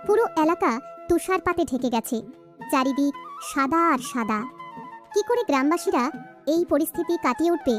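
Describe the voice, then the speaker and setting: Female storyteller, very high voice, scripted cartoon narration, faint music underneath. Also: expressive, normal speed.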